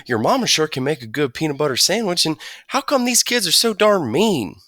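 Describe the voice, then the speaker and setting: A high, bouncy young country boy from Arkansas, as a male audiobook reader puts him on, chattering away with a drawl. His pitch leaps all over as he jabbers eagerly. The reading is dry and close. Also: very animated, a little fast, pushed and bright, no room sound, full character voice. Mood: eager, chatty. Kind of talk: audiobook character voice.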